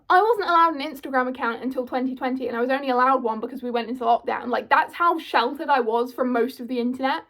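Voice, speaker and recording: A twenty-one-year-old woman, chatting to camera in a casual, self-mocking vlog style. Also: high-pitched. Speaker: vlogger.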